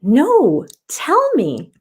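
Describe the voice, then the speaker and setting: A woman reading a storybook as an eager, excitable young granddaughter, bubbly and pleading. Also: sits high, drawn-out words, breathy edge, pitched above the performer's own voice, exaggerated voice.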